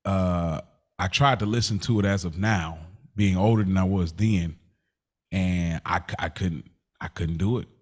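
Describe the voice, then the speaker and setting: Low-voiced American man chatting casually. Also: a little slow. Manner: informal, slangy. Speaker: podcast co-host. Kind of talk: casual banter and chat between friends.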